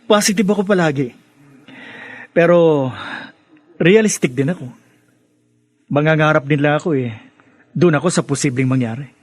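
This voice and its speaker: Radio drama casting: man playing self-assured, teasing big brother, Filipino accent, lighter-pitched, close dry sound. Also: normal speed, long pauses, no echo, natural voice. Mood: teasing, casual.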